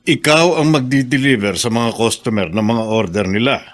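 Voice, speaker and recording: An actor playing a sly, businesslike Filipino shop boss with an insinuating manner in a radio drama. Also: male voice, medium pitch, moderate pace, natural voice. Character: sly shop boss with a shady side offer.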